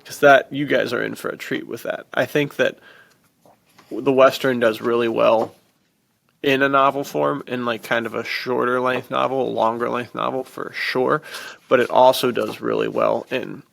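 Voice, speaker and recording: A man's voice: medium pitch, flat delivery, moderate pace, gravelly, fairly bright tone. A man co-hosting a podcast, chatting casually about books with laid-back enthusiasm and plenty of "dude". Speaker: podcast co-host. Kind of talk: casual book chat.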